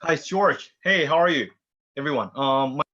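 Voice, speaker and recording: A man's voice: fairly high pitch, moderate pace, pushed and bright. A man from Taiwan introducing himself on an online panel, often restarting and repeating words. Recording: dull lo-fi video call audio.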